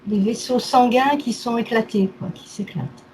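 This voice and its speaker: Very high-pitched woman, short itemizing phrases. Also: moderate pace, a little mellow, low-quality recording. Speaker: therapy client. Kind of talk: casual recounting of health complaints. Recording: faint background noise.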